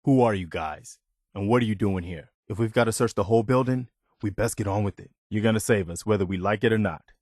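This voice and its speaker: An actor playing an earnest, dutiful, plainspoken militia lieutenant in a post-apocalyptic video game. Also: male voice, on the low side, a little fast, darkish tone, dry recording, clean studio sound, natural voice. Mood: earnest, concerned. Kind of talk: video game character dialogue.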